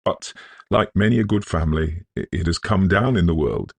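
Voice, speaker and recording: A man's voice: sits low, animated, moderate pace, creaky, breathy edge, no room sound, heavily acted. A man voicing a humble, kindly working man in a storybook reading, deferential and earnest towards his young master. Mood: proud, rueful.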